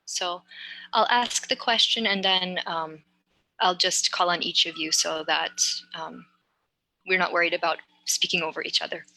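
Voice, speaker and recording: A woman from New York, an adult education instructor, moderating a discussion in a relaxed, friendly way. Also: lowish voice, moderate pace, no echo, low-quality recording. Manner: relaxed, friendly, light fillers.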